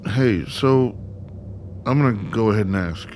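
A man's voice: fairly low pitch, measured pace, darkish tone, slight background noise, lightly acted. An actor playing a curious, chatty man confined in a mental ward in a radio play. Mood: curious, casual. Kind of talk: conversational radio play acting.

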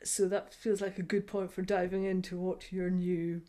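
A woman's voice: on the low side, little pitch movement, moderate pace. Enthusiastic British woman hosting a podcast. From United Kingdom. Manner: warm, enthusiastic, laughs readily. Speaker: podcast host. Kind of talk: casual interview chat.

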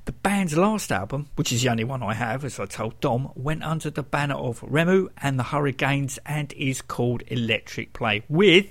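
A man's voice: mid-range voice, lively intonation, normal speed, hardly any pauses, a bit bright. A man hosting a radio music show in a casual, informal way, with self-correcting asides.

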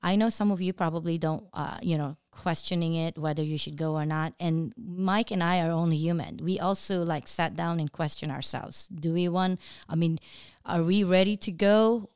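A woman with a Filipino accent hosts a relaxed podcast, chatting with her husband and laughing often. Her voice is smooth and clear and sits a little low for a woman. The sound is close and dry. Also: normal speed, no room sound. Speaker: podcast host.

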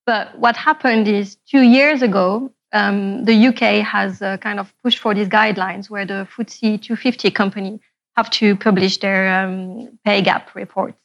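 A woman from Morocco speaking on a panel, measured and pausing with fillers. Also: medium pitch, fairly even intonation, muffled recording. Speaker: panelist and engineer. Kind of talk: panel discussion.

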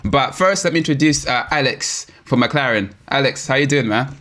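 A man's voice: medium pitch, normal speed, bright, forceful tone, a little hiss or hum. Chatty man hosting a casual interview. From Africa. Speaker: host of a car talk show.

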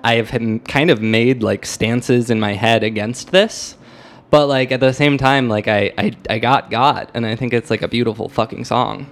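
A man's voice: mid-range voice, steady tone, normal speed. A young American man hosting a podcast, chatting casually about music in a rambling, hesitant way that often circles back on itself. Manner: rambling, hesitant, self-interrupting. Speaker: podcast host.

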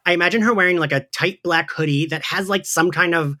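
Guy podcast host, kinda high bright voice, giggly and agreeable, just chatting. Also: quick pace. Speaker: podcast host leading a discussion. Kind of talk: casual chat.

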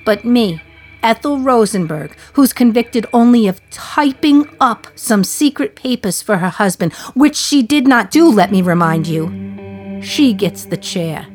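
A woman's voice: fairly high pitch, animated, a little slow, soft background music. A woman playing a wry, defiant condemned prisoner. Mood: sardonic. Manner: wry, sardonic, confiding to the listener. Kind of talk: radio play monologue.

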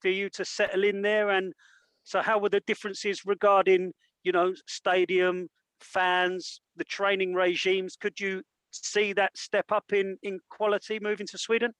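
A man co-hosting a football podcast, asking interview questions in a measured way with fillers and restarts. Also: high voice, monotone, fairly bright tone, dry recording, low-quality recording. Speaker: podcast co-host.

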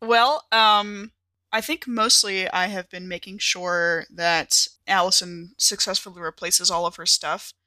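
A woman's voice: lowish voice, fairly even intonation, a little slow, bright, forceful tone, no room sound, poor audio. A woman playing in a tabletop game podcast, improvising casually with thoughtful, hesitant phrasing.

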